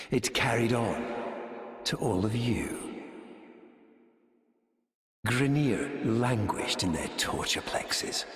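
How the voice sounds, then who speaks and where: An actor voicing a sinister, pompous male tyrant in a video game, preaching in solemn, theatrical oratory with a breathy delivery. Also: fairly low pitch. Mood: ominous, grave. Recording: very echoey hall, long reverb, distant.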